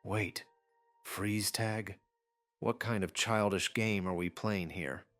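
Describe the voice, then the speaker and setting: Man playing a low-voiced, cynical boy hero. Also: fairly even intonation, slow, a bit bright, no room sound, soft background music. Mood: dry disappointment. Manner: muttering, sardonic. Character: wary, cynical teenage boy hero of anime story.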